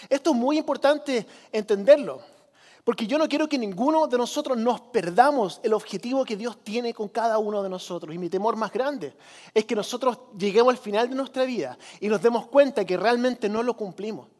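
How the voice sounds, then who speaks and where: A man's voice: very high voice, darkish tone. A man from Chile with a Chilean accent, giving a sermon as a preacher in a conversational manner, repeating phrases for emphasis. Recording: long hall reverb.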